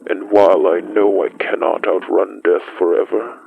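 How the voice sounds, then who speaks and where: An actor playing an ancient, solemn and menacing spirit beast with a breathy, growling voice and slow, archaic phrasing in an audio drama. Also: sits low, level tone, narrow, tinny audio, heavily acted. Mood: grave, foreboding.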